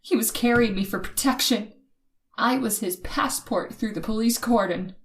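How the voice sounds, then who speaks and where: A woman's voice: a little high, close to an everyday voice. An actress playing a frightened young woman held captive by a killer, telling her story in a suspenseful old-time radio horror drama. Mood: tense narration. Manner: breathy dramatic suspense, hushed and halting when afraid.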